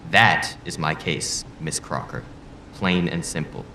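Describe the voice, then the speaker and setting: An actor playing a proud, principled politician in an audio drama debate, speaking in an earnest, blunt and deliberate way. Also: male voice, rumbling low. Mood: indignant, firm. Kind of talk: audio drama acting, formal debate rhetoric. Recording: roomy echo, faint background noise.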